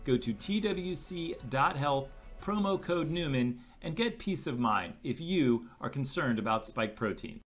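A man's voice: medium pitch, animated, a little slow, hardly any pauses, soft background music. A man reading a scripted health supplement ad in an earnest announcer voice. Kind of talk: scripted ad read.

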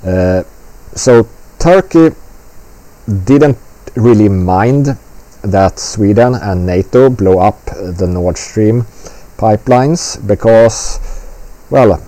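Man, casual commentary, blunt and rambling, curses freely, unhurried delivery. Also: on the low side, mellow.

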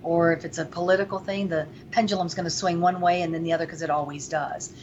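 An earnest woman from Venezuela with a lowish voice rambles through her answers, full of fillers. The recording is dull and lo-fi. Faint noise sits beneath it. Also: fairly even intonation, quick pace, darkish tone. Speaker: guest. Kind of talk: interview.

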